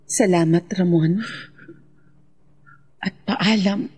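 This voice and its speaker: An actress playing a grieving widowed mother in a Filipino radio drama, with a husky voice. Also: on the low side, extremely slow, a little mellow, a little hiss or hum. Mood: sad farewell. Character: grieving widowed mother addressing her dead husband.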